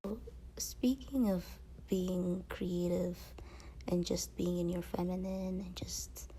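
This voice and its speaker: Low, creaky-voiced woman vlogger. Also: fairly even intonation, slow pace, warm tone, a little hiss or hum, poor audio. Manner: rambling, peppered with slang and filler phrases. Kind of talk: casual chat to viewers.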